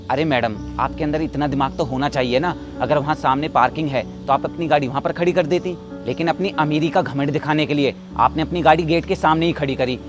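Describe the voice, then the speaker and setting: An actor playing a stern resident who scolds a careless driver in a short drama skit. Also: male voice, mid-range voice, close to an everyday voice. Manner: scolding and lecturing.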